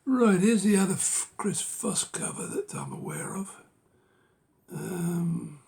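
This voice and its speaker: A man with a hoarse, gravelly voice that keeps dropping to a half-whisper, musing unhurriedly over his book collection with many ums and rights. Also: high voice, takes it slowly, mellow. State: losing his voice. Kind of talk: casual collection walkthrough.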